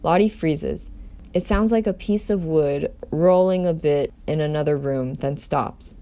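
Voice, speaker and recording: A woman's voice: low voice, measured pace, mellow. A woman doing an amateur table read as storyteller, narrating a script in her own voice.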